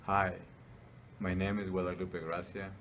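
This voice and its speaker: Deep, flat man. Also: measured pace, slight background noise. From Mexico. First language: Spanish. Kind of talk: short self-introduction.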